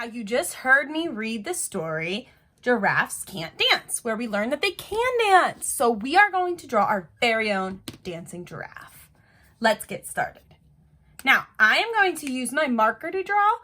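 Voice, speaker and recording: A woman teacher speaking to young children in an expressive, deliberate, encouraging read-aloud style. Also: high-pitched. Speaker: elementary art teacher.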